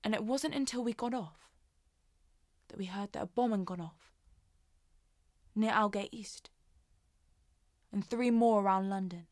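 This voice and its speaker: A woman acts a shaken commuter in a modern stage monologue. She speaks in a subdued, conversational, halting way, and the sound is dry and close. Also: medium pitch, no echo, lightly acted. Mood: haunted, uneasy. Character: shaken woman commuter recounting a frightening train ordeal.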